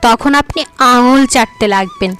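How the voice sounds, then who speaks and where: A woman's voice: fairly high pitch, huge pitch swings, moderate pace, a bit bright. A woman voicing a vain, scheming young wife in a cartoon folk tale, who talks in a boastful, mocking way. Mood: sweet-talking. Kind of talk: animated folk tale voice acting.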